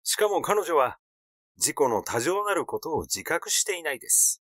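A flippant young gossip, as a male reader plays him, teasing and sardonic in storybook dialogue. His pitch swings about freely, and the sound is dry. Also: sing-song, moderate pace, fairly bright tone, no room sound. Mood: amused, glib. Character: flippant, gossiping young man, mocking and glib. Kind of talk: acted dialogue in an audiobook.

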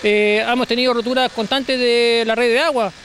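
A man, interviewed on a city street as a resident, speaking haltingly with restarts into handheld microphones. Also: very high-pitched, steady tone, fairly bright tone, a little hiss or hum. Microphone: handheld reporter microphones.

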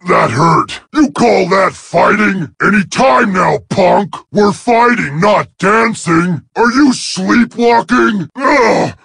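A male voice actor playing a cocky, excitable young robot warrior, barking husky, gravelly battle lines for a video game. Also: high voice, slow pace, hardly any pauses, dry recording, clean studio sound, heavily acted. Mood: defiant, indignant. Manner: shouted battle barks.

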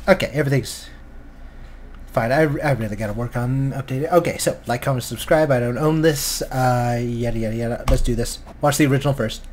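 A man's voice: medium pitch, brisk, darkish tone. A young man giving casual, hesitant, sweary reaction commentary at home as a video host. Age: young adult. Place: small room at home, indoors. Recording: faint background noise.

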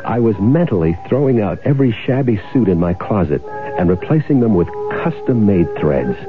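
An actor playing a curt, impatient swindler husband in an old-time radio drama, in dialogue and first-person narration. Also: male voice, fairly low pitch, very animated, moderate pace. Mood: tense, brooding. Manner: curt, bossy. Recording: muffled vintage radio broadcast with music.

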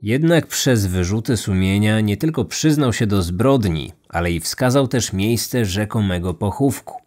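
A man narrating a scripted true-crime podcast as host, in a measured, serious manner. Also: lowish voice, expressive, darkish tone, soft background music.

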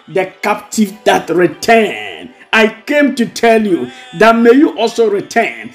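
A man with a Ghanaian accent preaching a devotional as a pastor, exhorting and repeating his phrases. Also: high voice. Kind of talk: devotional sermon with prayer and blessings. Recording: music bed under the voice. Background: music.

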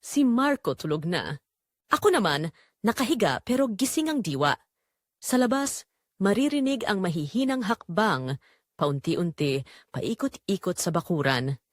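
A woman's voice: medium pitch, very animated, measured pace, long pauses, no echo, studio quality. A woman narrating a scripted audio drama in the first person, with a suspenseful, emotive delivery.

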